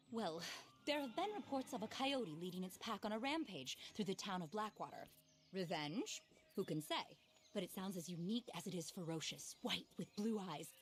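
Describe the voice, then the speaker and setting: A woman's voice: mid-range voice, natural voice. An actress playing an eccentric, easily flustered lady naturalist in a video game, speaking in a genteel, fervent, theatrical way. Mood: concerned. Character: earnest, flustered, eccentric lady naturalist who adores animals. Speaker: character in a video game cutscene. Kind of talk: dramatic game voice acting.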